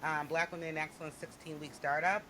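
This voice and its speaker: A woman's voice: sits low, flat delivery, fast, pushed and bright, a little hiss or hum, rough recording. A woman hosting a podcast, chatting with a guest in a bubbly, effusive and playful way. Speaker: podcast host. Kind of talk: casual interview chat.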